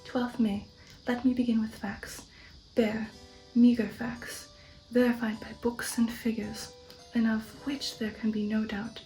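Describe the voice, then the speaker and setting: A woman narrates an audiobook in ASMR style. Her voice is breathy and half-whispered, with a very mellow tone and little movement in pitch. She phrases each sentence deliberately. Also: little pitch movement, faint music behind, poor audio.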